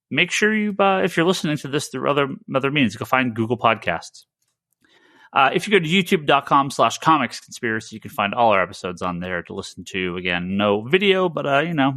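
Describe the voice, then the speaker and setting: A man hosting a podcast, chatting informally and rambling through shout-outs and plugs. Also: medium pitch, no room sound, clean studio sound. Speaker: podcast host.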